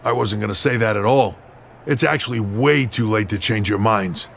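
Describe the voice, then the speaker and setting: A man playing a blunt, realistic wrestling mentor with a gravelly voice in a video game. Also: on the low side, normal speed, fairly bright tone, a little hiss or hum. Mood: matter-of-fact. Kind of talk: video game cutscene acting.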